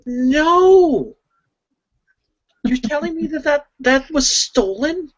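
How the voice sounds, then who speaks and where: A woman's voice: high-pitched, lively intonation, slow pace, voice pushed up. A woman voicing a posh noblewoman who grows frantic, breathless and pleading, on a dull lo-fi mic. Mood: panicked.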